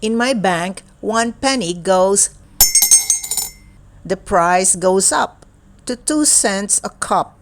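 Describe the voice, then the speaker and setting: A woman reading a picture book aloud for young children in a deliberate, playful way, in short separated phrases. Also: mid-range voice, animated, slight background noise. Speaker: children's storybook reader.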